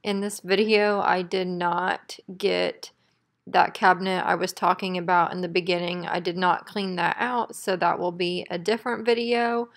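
A woman in her thirties with a creaky voice chats casually as a home cleaning vlogger. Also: fairly low pitch, level tone, moderate pace. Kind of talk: casual vlog chat. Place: indoors at home.

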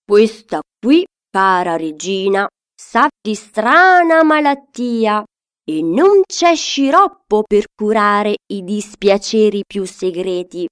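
A woman voicing a male doctor in a children's storybook, declaiming a diagnosis in a drawn-out, emphatic way. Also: medium pitch, expressive, smooth. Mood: solemn. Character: male doctor diagnosing gravely, slow and theatrical.

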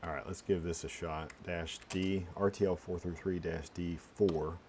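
A man giving a casual, unscripted screencast walkthrough, halting and muttering as he thinks aloud through each step. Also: deep voice, steady tone, a little mellow, a little hiss or hum. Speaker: tutorial presenter.

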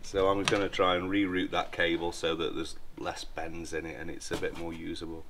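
Man, low voice, explaining repairs. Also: little pitch movement, fairly quick, noisy background. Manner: chatty, explaining as he works, with frequent restarts. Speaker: aircraft maintenance engineer. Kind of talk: casual hands-on workshop walkthrough. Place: large indoor aircraft hangar.

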